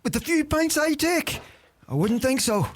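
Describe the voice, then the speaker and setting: An actor playing a scrawny, idle old uncle who grumbles and boasts, with a Donegal Irish accent, in a radio play. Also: male voice, extremely high pitch, expressive, normal speed. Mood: boastful. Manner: grumbling, droll.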